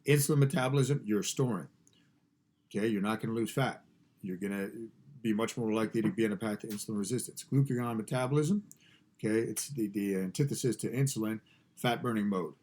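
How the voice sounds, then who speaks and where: A man's voice: fairly low pitch, a little fast, darkish tone. A man hosting a podcast from his garage studio, sounding stuffed up and nasal from allergies. He talks in a casual, emphatic way, repeating key phrases and often restarting sentences. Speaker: podcast host and fasting coach. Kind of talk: casual motivational talk.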